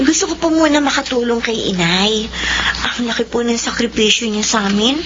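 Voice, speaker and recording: Woman acting a respectful young daughter, fairly high voice, gentle, forgiving, radio drama, noisy recording. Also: animated, moderate pace, dull audio, lightly acted. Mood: respectful, reluctant.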